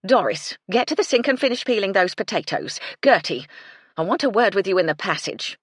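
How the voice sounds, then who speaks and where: A woman's voice: animated, brisk, dry recording, studio quality, close to an everyday voice. Stern housekeeper, plump and fiery, barks short orders, played by a woman. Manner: commanding, shrill.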